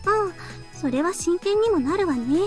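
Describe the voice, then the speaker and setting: High-pitched visual novel schoolgirl. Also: animated, quick pace, soft background music. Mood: calm. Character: soft-spoken teenage schoolgirl in a visual novel. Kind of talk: Japanese visual novel voice acting.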